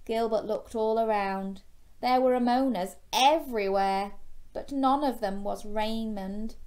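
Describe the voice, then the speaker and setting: A young woman reading a children's storybook in a gentle, expressive way, chatting with young listeners through questions. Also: a little high, measured pace. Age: young adult. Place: small bedroom, indoors.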